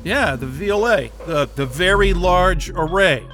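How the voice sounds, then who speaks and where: A dazed captive man in an audio drama. His voice is bright and edgy, fairly high, and slow. He sounds halting and suggestible, as if drugged. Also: animated, soft background music, full character voice. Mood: rambling, sheepish. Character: captive male escapee, drugged and being brainwashed.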